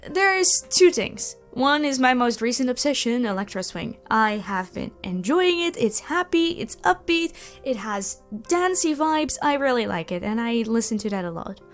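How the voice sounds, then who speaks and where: A young woman chatting to viewers in a question-and-answer video, with animated, expressive emphasis and drawn-out words. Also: fairly high pitch. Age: young adult. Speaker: ASMR audio roleplay creator and voice actor answering questions. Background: background music.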